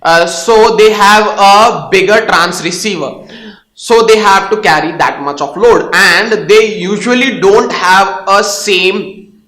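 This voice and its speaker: A man's voice: high voice, slow, bright, forceful tone. A young Indian man with an Indian English accent, hosting a casual tech explainer video and talking hesitantly with many fillers and restarts. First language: Hindi or Tamil. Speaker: tech channel host. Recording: echoey room.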